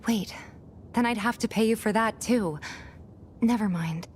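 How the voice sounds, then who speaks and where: An actress playing a friendly, caring young woman in a video game, speaking gently and conversationally with slight hesitations. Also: mid-range voice, unhurried, a little hiss or hum, close to an everyday voice. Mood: apologetic, encouraging. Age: young adult. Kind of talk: video game cutscene voice acting. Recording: background music under dialogue. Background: game music.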